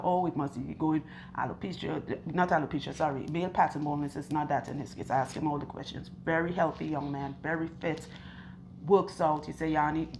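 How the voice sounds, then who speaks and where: A woman loctician with a husky, hoarse voice, talking slowly and earnestly to camera while interviewing a client in her salon. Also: low-pitched, darkish tone, slight background noise. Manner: earnest, chatty, asks questions and recounts stories. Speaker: loctician and channel host. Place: hair salon.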